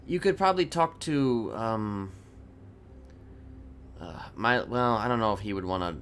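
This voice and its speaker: A man's voice: lowish voice, expressive, unhurried, a bit bright, slight background noise. A man playing a casual, matter-of-fact criminal in improvised game roleplay chat. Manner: casual, repeats yeah and you know. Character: casual, matter-of-fact gun-toting criminal giving advice.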